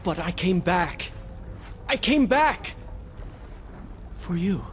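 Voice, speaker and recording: A man's voice: on the high side, expressive, moderate pace, slight background noise, natural voice. A man playing a brooding, vengeful young warrior prince in a video game, with a grave, theatrical and formal delivery. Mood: pleading. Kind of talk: dramatic video game voice acting. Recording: loud game music over the voice.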